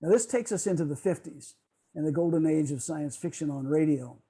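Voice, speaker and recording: Male club presenter on a video call, soft dark tone, raspy, measured semi-scripted delivery. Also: fairly high pitch. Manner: measured, explanatory, stumbles while reading. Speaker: club presenter on old-time radio.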